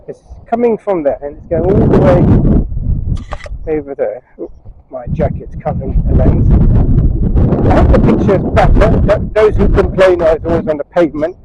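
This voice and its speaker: Travel vlogger, man, slightly high voice, chatty and wandering, chest-cam audio with heavy wind noise. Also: expressive, moderate pace, lo-fi sound. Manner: chatty, wandering asides, talks to viewers. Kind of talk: rambling walking vlog chat. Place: outdoors on a windy beach. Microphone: chest-strapped action camera mic. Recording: wind noise on a body camera mic.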